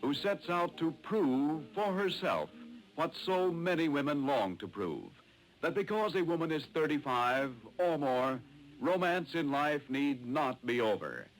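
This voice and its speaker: An actor playing an earnest announcer introducing an old radio soap opera. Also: male voice, a little high, expressive, unhurried, soft background music, low-quality recording. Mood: earnest, sentimental.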